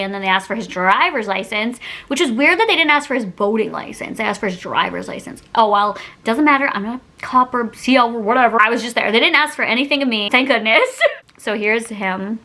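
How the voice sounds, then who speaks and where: Casting: female vlogger, bright forward voice, informal slangy storytime delivery, animated and chatty. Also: on the high side, fairly quick. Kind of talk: casual storytime chat to camera.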